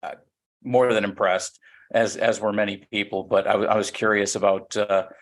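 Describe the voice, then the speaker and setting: A man's voice: fairly low pitch, little pitch movement, moderate pace. A man co-hosting a podcast, asking friendly questions with frequent stumbles and restarts. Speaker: podcast co-host. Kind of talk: casual podcast chat.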